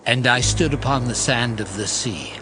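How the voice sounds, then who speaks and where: A man narrating a dramatized scripture reading in a slow, solemn, deliberate manner. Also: mid-range voice, bright, forceful tone, a little hiss or hum, poor audio. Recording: music bed underneath.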